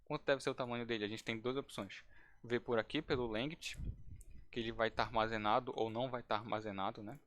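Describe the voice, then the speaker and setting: Brief: male instructor, relaxed and chatty, restarts phrases while demonstrating code, typing heard behind. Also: medium pitch, fairly quick. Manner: casual, thinking aloud with restarts. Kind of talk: live-streamed coding lesson.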